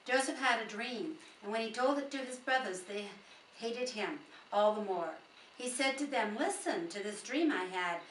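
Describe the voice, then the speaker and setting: A woman's voice: on the high side, lively intonation, normal speed, fairly bright tone. A woman reading scripture aloud with informal asides, recorded at a distance in a roomy space with faint noise. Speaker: Bible reader.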